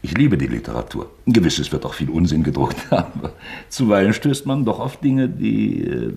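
An actor playing a sly, falsely genial investigator in a German radio play, mock-forgetful and probing with creaky politeness. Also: male voice, sits low, big pitch swings, normal speed, a little mellow, heavily acted. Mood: feigned geniality, probing.

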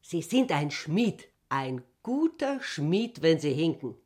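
A woman's voice: sits low, very animated, slow pace, a little mellow, breathy edge, full character voice. A woman playing an educated, imperious schoolteacher in a radio play, lecturing in a declamatory way. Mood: scornful insistence. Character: educated, imperious, fanciful prison-cell schoolteacher.

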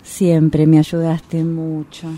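An actress playing a gullible, resentful longtime client of a fortune teller in a radio drama, with an Argentine accent and a confiding, dramatic way of telling things. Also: female voice, low voice, monotone, warm tone, heavily acted. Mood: wounded, confiding. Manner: confiding, dramatic storytelling with pauses.

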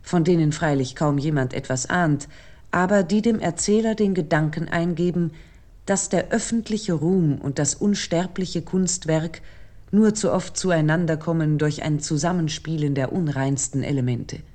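A woman giving a scripted literary lecture as a commentator, in a measured, formal, essayistic manner. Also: sits low, a little mellow.

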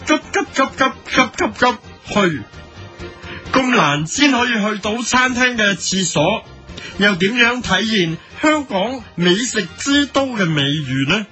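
A man's voice: high-pitched, animated, a little slow, sharp tone, faint music behind, heavily acted. A man playing a mock-serious, indignant television editorial presenter with a Hong Kong Cantonese accent, emphatic in a parody broadcast.

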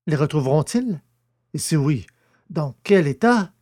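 A man hosting a podcast and narrating a science fiction audio novel, in a clear, even storyteller's reading. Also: a little high, measured pace.

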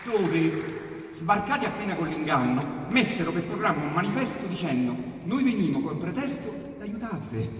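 A man with a Roman accent reciting dialect poetry as theatrical storytelling, emphatic and colloquial, with dramatic pauses. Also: high-pitched, lively intonation, a crowd in the background. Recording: very echoey hall from far off, faint noise.

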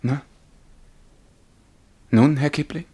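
An actor playing a nervous, ardent young amateur poet in love, with breathless, earnest delivery in a German radio drama. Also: male voice, fairly high pitch, fairly even intonation, drawn-out words, warm tone, breathy edge, heavily acted. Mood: eager, proud. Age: young adult.

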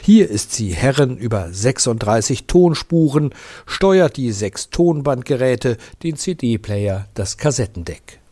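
A man's voice: mid-range voice, very animated, takes it slowly, warm tone. A man reading scripted narration as the reporter of a radio feature.

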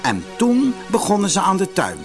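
A man reading a scripted fairy tale for children as an expressive narrator. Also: high voice, normal speed. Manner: expressive storytelling. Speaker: storybook narrator. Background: music.

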